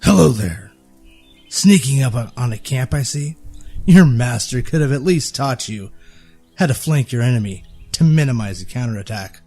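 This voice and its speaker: A man's voice: mid-range voice, expressive, moderate pace, warm tone, faint music behind. A man playing a cold, confident swordsman and bodyguard in an audio drama, commanding and dismissive. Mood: taunting.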